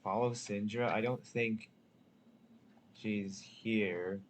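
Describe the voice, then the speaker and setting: A man's voice: fairly low pitch, steady tone, slow pace, a little hiss or hum. A man giving casual commentary as he plays through a video game. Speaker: gaming video creator. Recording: faint game music behind.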